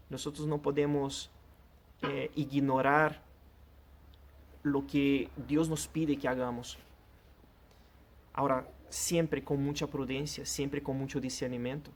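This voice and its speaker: A man whose first language is Portuguese, preaching a sermon in an earnest, direct way and addressing the listener personally. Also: medium pitch. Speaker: pastor.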